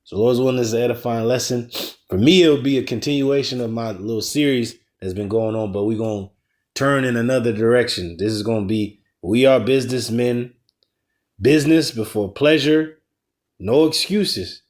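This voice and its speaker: A man of ordinary pitch delivers a religious lesson in a relaxed, street-slang style. He curses freely and pushes his voice up to stress his points. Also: normal speed. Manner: loose, slangy preaching with cursing. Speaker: teacher in a religious ministry. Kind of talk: casual scripture lesson.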